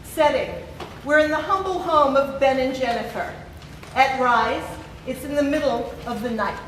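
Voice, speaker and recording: A woman speaks as director and host of a live play reading, introducing and narrating stage directions. Her voice sits fairly high. She is recorded from a distance in an echoey, noisy room. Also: normal speed, fairly bright tone. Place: temple hall, distant camera mic. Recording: echoey room, distant mic, clear background noise.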